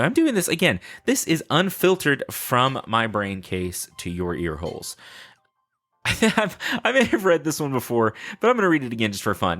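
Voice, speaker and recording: A man's voice: medium pitch, fairly bright tone. A man hosting a podcast solo in a casual, unscripted way, chatting playfully and rambling with self-deprecating humor. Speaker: podcast host.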